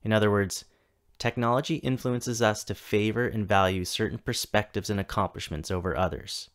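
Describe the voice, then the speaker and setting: Man, thirties, scripted keynote, measured deliberate reading, slightly low voice. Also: steady tone. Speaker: keynote speaker, tech coordinator. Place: home or office, recorded for a virtual conference.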